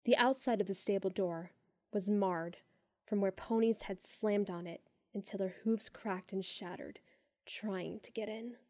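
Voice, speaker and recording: A woman narrating a first-person story in a measured way, sinking to hushed tones in tense moments. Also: medium pitch, steady tone, dry recording, clean studio sound. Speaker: audiobook narrator.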